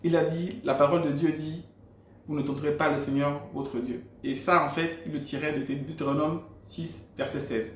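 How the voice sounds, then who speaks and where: Man, Gabonese French accent, fairly high voice, earnest faith testimony, echoey room. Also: flat delivery, moderate pace, a little hiss or hum. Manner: earnest storytelling with frequent restarts. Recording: roomy echo.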